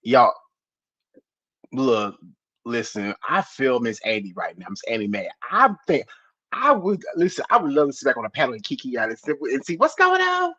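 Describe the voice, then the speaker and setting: Chatty woman w/ husky voice, pitch all over the place, dull lo-fi audio. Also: normal speed. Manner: chatty, animated slang talker. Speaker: panel guest. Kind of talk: casual panel chat.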